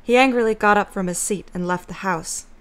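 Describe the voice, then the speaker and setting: Mid-pitched woman narrating a story. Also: a little fast, smooth.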